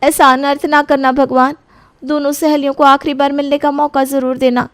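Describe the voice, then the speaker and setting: A woman acts out a young daughter-in-law in a dramatic story. Her voice is high and emotional, polite and deferential with an anxious, pleading edge. The sound is dry and close. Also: flat delivery, normal speed, no echo. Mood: worried, prayerful.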